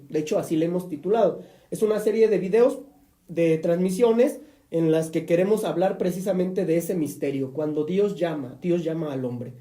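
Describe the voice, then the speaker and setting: A man's voice: high-pitched, warm tone, reverberant. A man with a Mexican accent, a priest hosting a live-streamed religious talk show, chatty and preachy with joking asides. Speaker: priest and program host.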